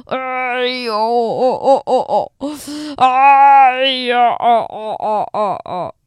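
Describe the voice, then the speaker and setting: A woman voicing a wicked, scheming old male wolf in a children's story, wheedling and whining with drawn-out words. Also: on the high side, big pitch swings, vocal fry, bright, forceful tone, no room sound, clean studio sound, full character voice. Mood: fake weeping, wheedling.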